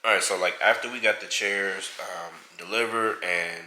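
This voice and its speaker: A man's voice: low-pitched, fairly even intonation, moderate pace, bright, forceful tone. A man, nasal from a head cold, chatting casually and rambling through a tour of his home theater. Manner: rambling, self-correcting. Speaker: vlog co-host. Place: large home theater room, indoors. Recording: echoey room.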